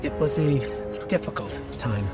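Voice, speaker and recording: An actor playing a small, friendly and helpful robot guide in a video game, explaining things in a chatty way. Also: male voice, fairly high pitch, expressive, measured pace. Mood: friendly, informative. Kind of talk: scripted game dialogue, guided tour. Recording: music behind the voice. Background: game music.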